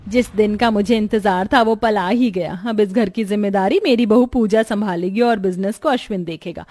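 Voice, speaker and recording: A woman's voice: medium pitch, normal speed, a little mellow, no echo, studio quality, pitched below the performer's own voice, close to an everyday voice. A woman voicing a bossy, demanding middle-aged mother-in-law who commands and scolds in a cartoon story. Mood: welcoming.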